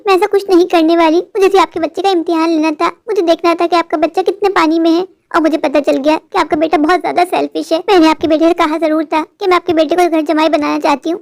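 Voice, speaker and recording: A sharp-tongued cartoon mother, put on by a voice actor with a very high, quick voice. She talks sweetly to others and slips into sly, threatening asides. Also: creaky. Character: scheming middle-aged mother, sharp-tongued. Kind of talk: cartoon voice acting.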